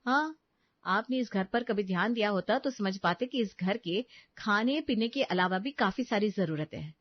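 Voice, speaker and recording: A woman acts out a young Indian housewife and mother in an audio story, patient but weighed down. The pitch is moderate and the sound is dry and a little muffled. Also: lively intonation, moderate pace, no echo, close to an everyday voice. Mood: complaining. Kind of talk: dramatised dialogue in an audio story.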